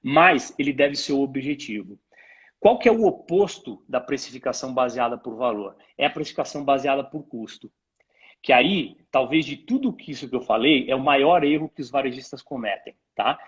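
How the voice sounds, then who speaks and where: A man with a Brazilian accent and a husky voice, explaining things conversationally as an expert guest, in a didactic way with rhetorical questions, on dull lo-fi call audio. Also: medium pitch, lively intonation, moderate pace. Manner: didactic, illustrates points with imagined dialogues and rhetorical questions. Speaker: guest retail pricing expert. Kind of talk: conversational explanation to a live stream host.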